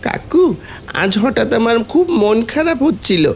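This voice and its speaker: A man's voice: extremely high pitch, very animated, slow pace, loud music behind the voice, pitched above the performer's own voice, full character voice. A man voicing a sad little orphan boy in an audio story, plaintive and questioning. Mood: wistful.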